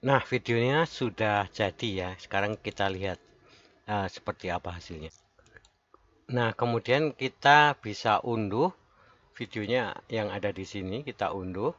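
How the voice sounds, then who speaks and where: A man giving a step-by-step software tutorial as its presenter, recorded as a voice-over. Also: lowish voice, unhurried. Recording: dull lo-fi voice-over.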